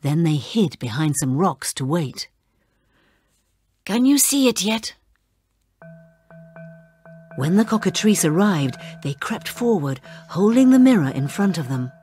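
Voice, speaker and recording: A woman narrating a children's read-along story in an expressive way. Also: sits low, moderate pace, few pauses, faint music behind.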